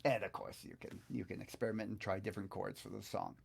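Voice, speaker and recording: A middle-aged or older man giving a casual hands-on software music lesson, thinking aloud in an easygoing, halting way as he works. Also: on the low side, little pitch movement, vocal fry, slightly rough. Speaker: guitar teacher presenting a software tutorial. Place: small indoor home studio, seated at computer. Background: backing track music.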